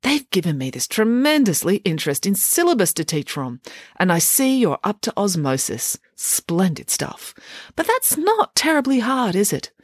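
A woman voicing a brisk, eccentric scientist mother who breezily talks over everyone in a storybook reading. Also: mid-range voice, wildly swooping pitch, no echo, clean studio sound, pitched above the performer's own voice. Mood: breezy.

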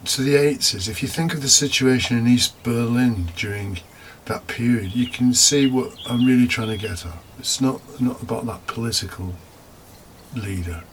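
A man's voice: medium pitch, moderate pace, poor audio. A man preaching a homily as a deacon, reflective, partly scripted with informal asides and rhetorical questions. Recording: faint background noise.

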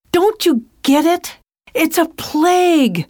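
A woman's voice: very high-pitched, expressive, extremely slow, no room sound, clean studio sound, exaggerated voice. A woman playing an exasperated, overburdened caregiving sister in an audio drama, bossy and scolding. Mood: impatient, scolding.

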